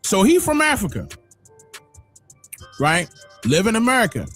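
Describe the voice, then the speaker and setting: A man hosting a livestream, giving emphatic opinionated commentary that swings from loud exclamations to low muttering. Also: huge pitch swings, measured pace, faint music behind. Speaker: livestream host.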